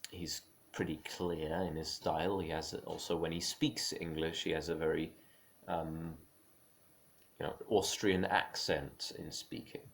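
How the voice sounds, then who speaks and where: A man with a very low voice that keeps sliding into a gravelly fry. He muses aloud without a script, stumbling and restarting often and dropping small laughs between his points. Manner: musing, opinionated. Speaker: speaker on creative careers. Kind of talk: unscripted spoken monologue.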